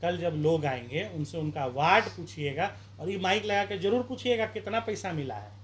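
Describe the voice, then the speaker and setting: A man's voice: sits high, animated, moderate pace. Male candidate, street interview, argumentative and rousing, light background noise. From Bihar, India. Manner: combative, rhetorical questions, campaign-style appeals. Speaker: independent election candidate.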